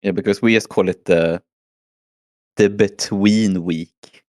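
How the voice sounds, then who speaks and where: A hoarse man from Sweden chatting casually as a guest and grumbling about the holidays. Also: fairly low pitch, fairly even intonation, slow, a little mellow, no room sound, studio quality. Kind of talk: casual chat.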